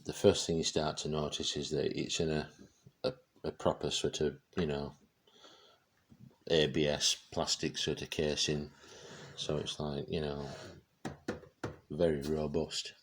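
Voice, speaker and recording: Very deep, monotone man, halting delivery. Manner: halting, searching for words. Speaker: presenter showing vintage radio gear. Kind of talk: casual unscripted product demonstration.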